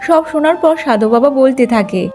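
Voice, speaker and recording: A woman's voice: high-pitched, lively intonation, fairly quick, a little mellow. A woman storyteller reading scripted narration for a moral tale.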